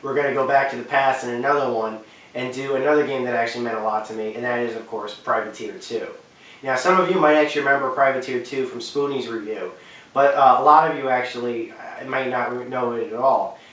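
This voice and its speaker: A man's voice: mid-range voice, fairly even intonation, a little fast, fairly bright tone. A man hosting a video game countdown with casual, unscripted commentary, stressing and repeating words for emphasis. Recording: very roomy echo, distant from mic.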